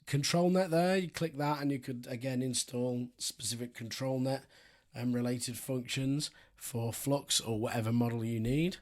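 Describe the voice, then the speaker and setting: A man with a sore, hoarse throat and a raspy voice, talking through a software walkthrough in a relaxed, rambling way with frequent ums. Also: medium pitch, moderate pace. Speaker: software tutorial host. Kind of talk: screen-recorded software walkthrough.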